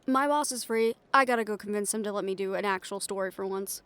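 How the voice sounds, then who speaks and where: A woman's voice: mid-range voice, fast talker, a bit bright, clear, resonant tone, lightly acted. A woman playing a brash, stubborn, ambitious young reporter in an improvised audio roleplay, with a sarcastic, cocky, conversational delivery. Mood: frustrated, sarcastic.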